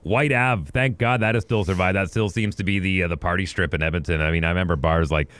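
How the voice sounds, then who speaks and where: A man's voice: low voice, fairly even intonation, fairly bright tone, no echo. Rambling man, dry close sound. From Western Canada. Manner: rambling, reminiscing, quick asides. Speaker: podcast co-host. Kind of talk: casual sports chat. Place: apartment recording studio.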